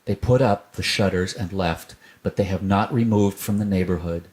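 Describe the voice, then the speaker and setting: A man's voice: sits low, moderate pace, a little mellow. A man narrating a children's story as a scripted storyteller.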